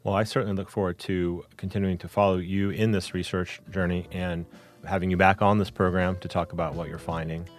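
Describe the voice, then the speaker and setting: Deep-voiced podcast host, music behind. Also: male voice, little pitch movement, brisk. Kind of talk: interview host.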